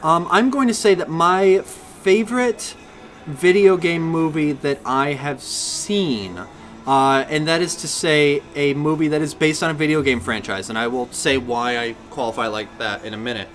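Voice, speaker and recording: A man's voice: on the high side, steady tone, moderate pace, fairly bright tone. A man chatting enthusiastically and casually as co-host of a pop-culture podcast.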